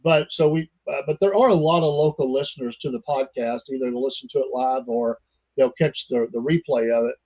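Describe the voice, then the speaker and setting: A man's voice: medium pitch, fairly quick, darkish tone, lo-fi sound. An older man hosting a podcast, chatting in a folksy, rambling way full of fillers. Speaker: podcast host. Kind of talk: casual interview chat.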